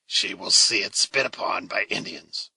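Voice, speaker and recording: A man voicing a fierce, taunting male warrior villain in a read-aloud story, slow and menacing. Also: low-pitched, creaky, sharp tone, breathy edge, no room sound, heavily acted, rough recording.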